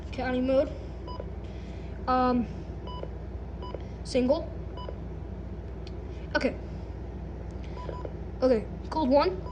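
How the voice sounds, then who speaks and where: High-pitched, slow-talking woman, noisy recording. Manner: halting, muttering to self in short phrases. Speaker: unboxing video host. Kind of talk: casual unboxing and setup narration. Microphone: handheld camera or phone, no tripod. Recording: noisy handheld home video recording.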